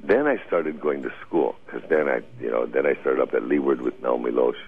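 Older man, very low voice, breathy and husky, rambling anecdotes, thin phone-line audio. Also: brisk, soft background music. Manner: rambling, anecdotal, laughs often. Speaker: guest. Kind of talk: radio interview storytelling.

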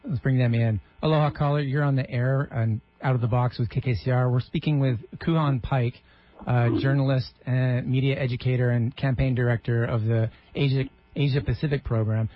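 A man's voice: mid-range voice, steady tone, fairly quick. A man hosting a radio call-in show, making wry, joking asides to his guest. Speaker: radio talk show host. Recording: dull radio audio.

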